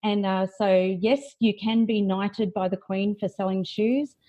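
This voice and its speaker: A woman's voice: medium pitch, fairly even intonation, unhurried, dark tone, lo-fi sound. An Australian-accented woman chatting informally as a podcast guest, telling stories in a casual way. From country New South Wales, Australia. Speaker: podcast guest, branding expert. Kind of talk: casual conversational storytelling.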